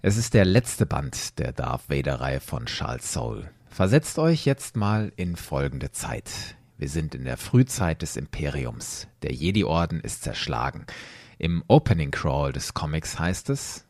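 Really deep guy telling a story, lively up and down delivery, dry studio sound, music under it. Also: measured pace, vocal fry, no room sound. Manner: expressive, addresses listeners directly. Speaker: host and storyteller. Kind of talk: dramatic storytelling narration.

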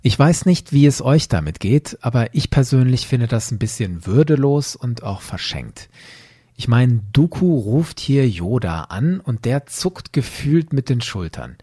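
A man's voice: medium pitch, very animated, measured pace, mellow, husky, no echo, clean studio sound. A man hosting a podcast, delivering scripted commentary in an opinionated, emphatic way. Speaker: podcast host.

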